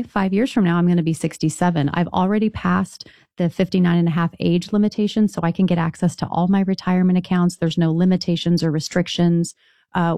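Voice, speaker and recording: A woman's voice: on the low side, vocal fry, dark tone, dry recording, clean studio sound. A middle-aged woman, a financial advisor, explaining retirement money matters in a conversational way on a call-in show. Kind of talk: radio call-in advice chat.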